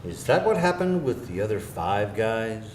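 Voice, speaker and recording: A man's voice: fairly low pitch, big pitch swings, moderate pace, a little hiss or hum. Guy reading as a flustered insecure boyfriend, voice jumps all over, echoey room. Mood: apologetic. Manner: incredulous, emphatic delivery. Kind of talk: live screenplay table read.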